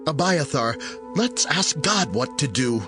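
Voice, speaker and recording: A man playing an earnest, loyal young warrior leader in a Bible drama, with a husky voice. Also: on the high side, big pitch swings, unhurried, music in the background. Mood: resolute, questioning. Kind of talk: dramatized Bible audio acting.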